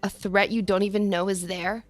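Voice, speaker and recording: A woman's voice: mid-range voice, level tone, moderate pace, natural voice. A woman playing a hostile, sarcastic member of a gang in an audio drama, with a biting delivery. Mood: angry, accusing. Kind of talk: scripted audio drama acting.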